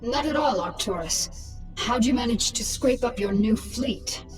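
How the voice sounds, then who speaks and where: A woman's voice: a little high, animated, unhurried, recorded from a distance, soft background music, low-quality recording. A woman voicing a ruthless alien queen in a game, sardonic and menacing. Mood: mocking, menacing. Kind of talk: dramatic game dialogue.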